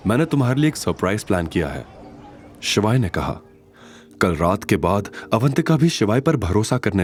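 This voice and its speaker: A man's voice: on the low side, darkish tone. A man reading a dramatic audio story as narrator, telling it expressively.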